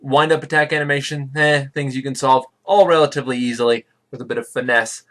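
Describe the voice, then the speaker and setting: A man's voice: medium pitch, steady tone, quick pace, fairly bright tone. A man co-hosting a gaming podcast in casual chat, blunt and joking with dismissive asides. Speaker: podcast co-host.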